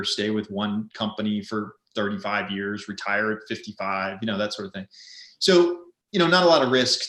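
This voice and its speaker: Man in his fifties, echoey room. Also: fairly low pitch. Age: 50s. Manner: relaxed, rambling, self-deprecating humor. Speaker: co-host of an online business event. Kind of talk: casual webinar chat.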